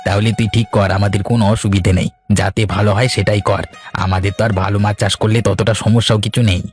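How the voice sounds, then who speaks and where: Man acting a fast-talking farmer brother in a cartoon. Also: fairly low pitch, flat delivery, close to an everyday voice. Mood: considering. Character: Bengali village farmer, practical family man, one of three brothers. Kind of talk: cartoon dialogue. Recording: music under the voice. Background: instrumental music.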